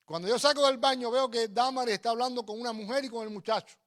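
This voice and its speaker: High-pitched man preaching. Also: quick pace, fairly bright tone. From Cuba. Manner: emphatic, exhorting, with repeated phrases. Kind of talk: sermon with personal storytelling.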